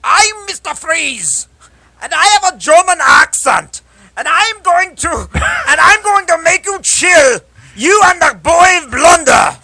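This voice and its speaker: Guy doing a hammy german-accent villain, real high and shouty, slow. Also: very animated, vocal fry, no room sound, exaggerated voice. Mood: gleeful menace.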